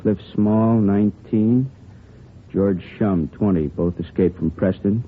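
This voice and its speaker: Low-voiced easygoing radio detective. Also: male voice, slow. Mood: businesslike. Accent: American. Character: male police detective, easygoing family man. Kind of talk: 1950s radio acting, conversational. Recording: hissy, narrow old radio recording.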